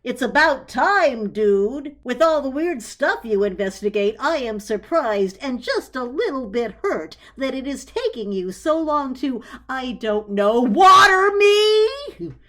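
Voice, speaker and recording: A woman's voice: high voice, big pitch swings, slow pace, fairly bright tone, voice pushed up, heavily acted. A woman voicing a bossy, blunt female aloe plant with a sassy, slangy manner in a storybook. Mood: sassy, demanding. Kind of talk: audio storybook character voice.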